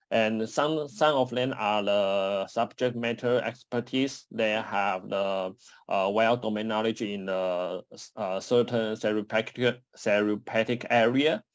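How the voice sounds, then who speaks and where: Guy from taiwan giving a slide talk on a webcam, lowish voice, lots of uh and restarts, dry sound. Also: dry recording. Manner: halting, many uh fillers and restarts. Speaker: conference presenter. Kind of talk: conference talk over slides. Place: home office or desk, indoors. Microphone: webcam on a video call.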